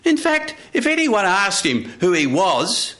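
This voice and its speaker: A male storyteller performs live in deliberate, short phrases. His pace is unhurried and his pitch swoops widely, giving the tale a dramatic shape. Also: wildly swooping pitch, fairly bright tone. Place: radio studio with live audience.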